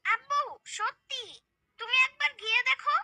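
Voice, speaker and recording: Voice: very high-pitched, moderate pace, pushed and bright, slight background noise, heavily acted. A performer voicing a curious, cheeky, credulous little boy in a cartoon, chirpy and inquisitive. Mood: excited, insistent.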